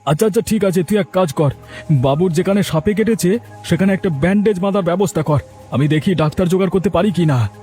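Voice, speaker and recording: A man's voice: high voice, quick pace, mellow, faint music behind, lightly acted. A man voicing an earnest, repentant village man in a cartoon, emphatic and resolute. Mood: worried. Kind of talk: cartoon dialogue.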